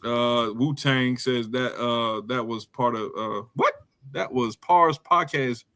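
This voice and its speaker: A man's voice: medium pitch, slow, pushed and bright. A man in his thirties hosting a casual podcast from home, reading viewer comments in loose slang, laughing often and mimicking people. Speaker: podcast host. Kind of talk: casual live-stream chat reading comments.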